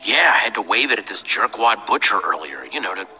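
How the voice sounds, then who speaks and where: A man's voice: fairly low pitch, lively intonation, brisk, sharp tone, long reverb tail. An actor playing a pragmatic, wry young male adventurer and leader in a video game. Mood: wry, focused.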